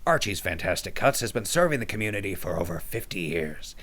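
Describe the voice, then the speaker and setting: A man's voice: low-pitched, big pitch swings, a little fast, a bit bright. A man playing a salesy radio advertisement announcer, reading scripted parody sponsor spots in polished patter. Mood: upbeat, salesy. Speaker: commercial announcer.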